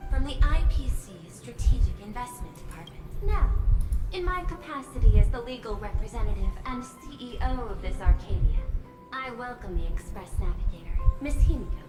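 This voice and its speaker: A woman's voice: a little high, animated, fairly quick, natural voice. A woman playing a courteous young corporate executive and governor in a game, speaking formally and diplomatically. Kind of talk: scripted game dialogue. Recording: echoey, music over the voice.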